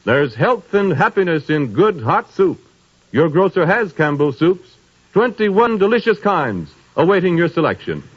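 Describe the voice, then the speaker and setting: A man's voice: sing-song, unhurried, a little hiss or hum. A man announcing a radio drama and reading its sponsor's message in a formal, polished 1930s broadcast style. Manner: smooth, polished sponsor pitch. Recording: dull old radio broadcast with faint music.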